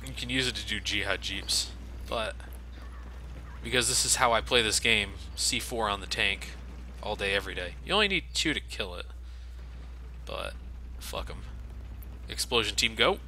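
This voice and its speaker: A man's voice: lowish voice, animated, moderate pace, long pauses, bright, forceful tone, slight background noise. A man giving casual, profane game commentary with a sardonic manner. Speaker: let's play host.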